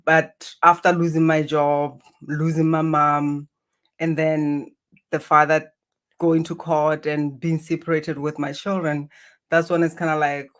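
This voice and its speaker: A woman's voice: deep voice, flat delivery, a little slow. A middle-aged woman from Botswana, a native Setswana speaker with a Botswana accent, reflecting on her life as an interview guest. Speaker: interview guest, spiritual healer. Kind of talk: reflective personal storytelling.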